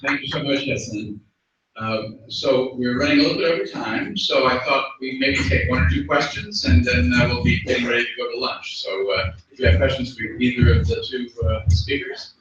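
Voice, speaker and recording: Relaxed moderator in a very echoey hall. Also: male voice, mid-range voice, slight background noise. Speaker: panel moderator. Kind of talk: moderating a conference session. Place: university conference room. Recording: echoey hall, distant, dull sound.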